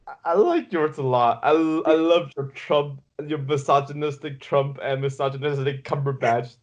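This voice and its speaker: A man's voice: a little high, normal speed, dull audio. A man co-hosting a casual podcast, talking hesitantly with frequent restarts. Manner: hesitant, stammering, laughs often. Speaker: podcast co-host.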